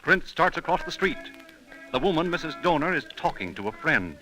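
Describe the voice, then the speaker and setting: Male narrator, scripted 1930s radio storytelling, solemn, theatrical, slightly high pitch, prominent background music. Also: very animated, brisk, poor audio.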